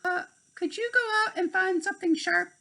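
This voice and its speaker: A man voicing a feisty, quick-tempered little female fairy in a children's storybook, exclamatory and petulant. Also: very high voice, creaky, bright, forceful tone, pitched above the performer's own voice, full character voice. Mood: eager, flustered.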